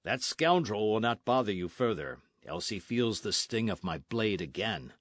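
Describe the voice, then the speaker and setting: A dashing masked outlaw, proud and gallant, who issues commands with theatrical force, as a male narrator puts him on. The voice swings widely in pitch. It is heard dry and close, with no room sound. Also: very animated, moderate pace, fairly bright tone, no echo.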